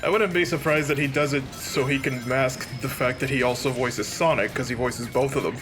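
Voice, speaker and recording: A man's voice: medium pitch, fairly even intonation, fairly quick. A man giving casual commentary on a video game, talking as one of a group of co-commentators. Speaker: let's play co-commentator. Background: video game music.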